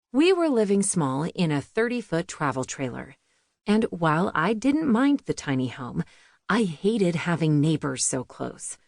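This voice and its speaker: A woman's voice: fairly low pitch, darkish tone, no echo, studio quality. A woman reading a memoir audiobook as a scripted narration.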